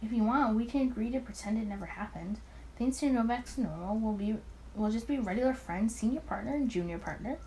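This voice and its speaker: A woman's voice: on the high side, fairly quick, warm tone, a little hiss or hum, lightly acted, poor audio. A woman voicing a teasing, affectionate young male detective partner in a visual novel. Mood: apologetic. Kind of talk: visual novel character dialogue.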